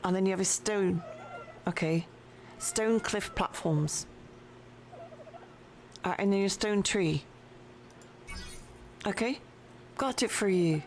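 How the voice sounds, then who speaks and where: A woman streaming a game at her home desk, chatting casually and slowly with her viewers and her pet. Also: medium pitch, a little mellow, slight background noise. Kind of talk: casual live-stream chat while playing. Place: home desk with a cat nearby.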